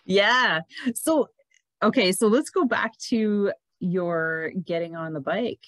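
A woman in her forties hosting an interview over a headset, chatty and quick with short acknowledgements. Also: medium pitch, a bit bright, no echo. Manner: chatty, laughs often, many short acknowledgements. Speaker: podcast host, interviewer. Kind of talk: casual interview chat. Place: indoor room, video call. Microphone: headset on video call.

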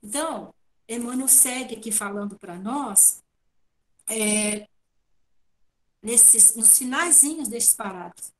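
A woman's voice: fairly high pitch, slow, pauses often, rough recording. A middle-aged Brazilian woman giving a didactic devotional talk, asking and answering her own questions, speaking into an earphone headset on a video call. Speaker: guest speaker at a spiritist talk. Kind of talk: devotional talk, explaining read passages. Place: at home indoors on a video call. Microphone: wired earphone headset.

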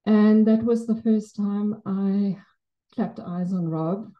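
A woman's voice: mid-range voice, fairly even intonation, takes it slowly, lo-fi sound. Afrikaans-accented woman giving a memorial tribute. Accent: Afrikaans South African. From South Africa. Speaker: memorial speaker. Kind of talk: personal tribute speech. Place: Buddhist center shrine room, indoors. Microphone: handheld mic, far camera.

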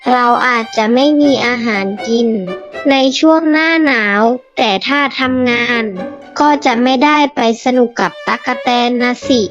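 A woman's voice: sits high, a little slow, highs cut off, soft background music. A woman voicing a curious, kind young child adventurer who speaks with wonder to young listeners in a storybook tale. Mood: thoughtful, kind. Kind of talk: children's story hosting and acting.

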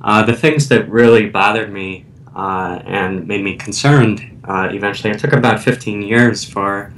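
A man's voice: steady tone, normal speed, a bit bright. A man who is an author and guest, telling his personal story in a hesitant way with many fillers. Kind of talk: interview storytelling. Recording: distant mic with faint rumble.

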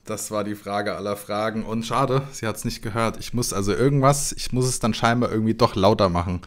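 A man co-hosting a live stream chat, who reads the guest introduction and then chats in a relaxed, friendly way over a headset. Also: fairly low pitch, brisk. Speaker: co-host. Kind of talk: scripted intro reading, then casual chat.

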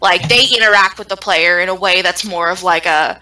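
Bright, animated woman chatting about games. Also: on the low side, brisk. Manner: animated, rambling, sweary. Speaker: gaming stream panelist. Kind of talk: casual game discussion.

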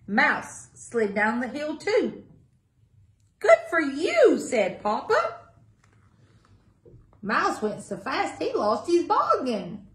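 A middle-aged woman reading a picture book aloud to young children in a deliberate sing-song way. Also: high-pitched, huge pitch swings, fairly bright tone. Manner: sing-song, deliberate, asks listeners questions. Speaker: library story time reader. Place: small indoor room with block walls. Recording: echoey small room.

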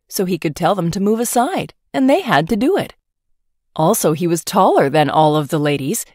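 A woman reads a children's storybook aloud as narrator. Her voice is a little low and very animated in pitch, recorded dry and close. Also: no echo. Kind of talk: expressive scripted read-aloud of a children's fairy tale.

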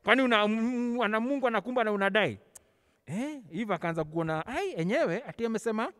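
Kenyan male children's Sunday school teacher, high voice, theatrical storyteller, handheld mic in a very reverberant church hall. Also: expressive, fast talker, a bit bright, clear, resonant tone. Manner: theatrical, acting out dialogue with stammered repetitions; code-switches casually between languages. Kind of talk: animated Bible storytelling for children. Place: large church hall, on stage. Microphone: handheld microphone, held close over face mask.

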